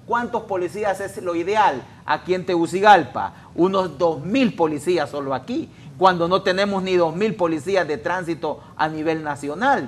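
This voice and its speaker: A man speaking as a traffic police official in a studio interview, explaining in a measured, hesitant way with many fillers. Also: high-pitched.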